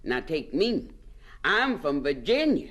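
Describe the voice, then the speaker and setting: An actress playing a bossy, proud older aunt from the American South, folksy and scolding, in a radio drama. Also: female voice, low voice, very animated, takes it slowly, heavily acted. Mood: proud, fond.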